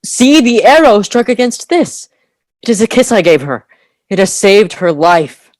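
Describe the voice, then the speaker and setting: A woman's voice: mid-range voice, big pitch swings, a little slow, a little mellow, dry recording. A woman playing a proud, commanding boy leader in an audio play. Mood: bossy, eager.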